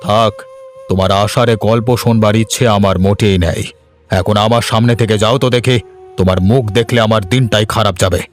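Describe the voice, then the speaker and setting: Guy playing mean bitter cartoon husband, lowish voice, stern and blaming, bit of music under it. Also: steady tone, fairly quick, close to an everyday voice. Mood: angry blame. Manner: scornful, stern delivery. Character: harsh, bitter, accusing husband and father. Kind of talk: melodramatic cartoon voice acting.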